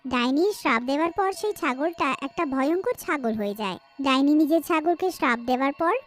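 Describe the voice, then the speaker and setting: A woman's voice: high voice, expressive, fairly quick, soft background music. A woman reading scripted storytelling narration for a horror cartoon.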